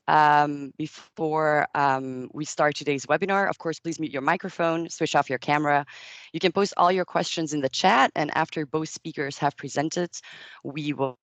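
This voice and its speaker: A woman's voice: low voice, dry recording. Woman doing a webinar intro, formal-ish, lots of ums, dry close sound. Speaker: webinar host, trade deputy.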